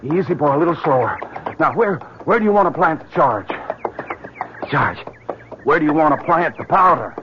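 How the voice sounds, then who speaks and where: On a dull, noisy vintage radio recording, an actor plays a devoted assistant. His voice sits high, excitable and deferential, and he eagerly doubles up his phrases. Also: normal speed, lightly acted. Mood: anxious, deferential. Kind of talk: old-time radio drama acting.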